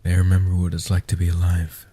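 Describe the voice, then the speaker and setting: Casting: male actor as ancient guardian vampire for comfort audio. Very low, dark, soft-spoken, patient and protective, with hushed pauses. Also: completely flat delivery, slight background noise, close to an everyday voice. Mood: gentle, reassuring. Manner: slow, hushed delivery with long pauses.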